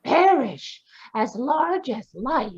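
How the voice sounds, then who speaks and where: A woman's voice: high voice, big pitch swings, takes it slowly, husky, heavily acted. A woman voicing a skeptical young woman in an old-time radio play, tart and sarcastic. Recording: dull lo-fi video call audio.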